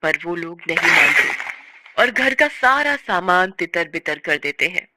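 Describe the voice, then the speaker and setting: A woman narrating a scripted story as an expressive, sorrowful storyteller. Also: lowish voice, a little slow, dry recording.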